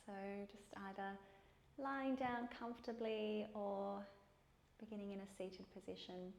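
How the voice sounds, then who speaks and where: A woman teaching yoga and guided meditation in a calm, soothing way, with unhurried pauses between phrases. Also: mid-range voice. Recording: echoey room.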